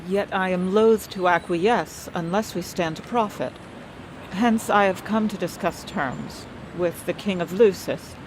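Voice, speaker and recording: A woman's voice: lowish voice, unhurried, slight background noise, lightly acted, low-quality recording. A woman acting in an audio drama as a shrewd, imperious politician with a formal, clipped manner. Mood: cool, businesslike. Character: shrewd, imperious female stateswoman, coolly bargaining.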